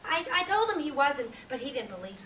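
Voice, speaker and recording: A woman acting an eager, innocent young orphan boy in a fantasy audio drama, excitable and earnest. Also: high voice, brisk, full character voice. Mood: anxious, eager. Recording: roomy, distant, faint background noise.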